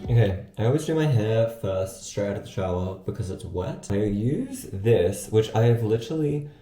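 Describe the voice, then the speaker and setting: A young man with a raspy morning voice, chatting casually and rambling in a home vlog. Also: on the low side, expressive, moderate pace, warm tone, faint music behind. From Australia. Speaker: vlogger. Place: bathroom at home.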